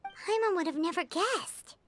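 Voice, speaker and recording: Tiny fairy sidekick: extremely high, swooping delivery, excitable and indignant, game music behind. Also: female voice, unhurried, a little hiss or hum, heavily acted. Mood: surprised. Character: tiny squeaky fairy companion, excitable, indignant, third-person talker. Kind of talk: video game voice acting.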